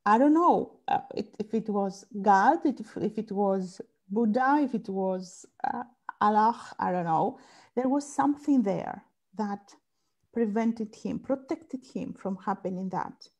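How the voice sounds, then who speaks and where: A woman's voice: medium pitch, lively intonation, unhurried, a little mellow. A woman from Greece with a Greek accent, a family therapist answering interview questions thoughtfully and searching for words in English. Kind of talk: interview conversation.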